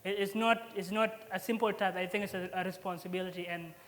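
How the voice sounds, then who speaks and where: A young man in his twenties from South Sudan giving an earnest speech as an honoree at an alumni event, pausing often with fillers and restarts. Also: high voice, fairly even intonation, a bit bright, long reverb tail. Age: 20s. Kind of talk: prepared acceptance speech.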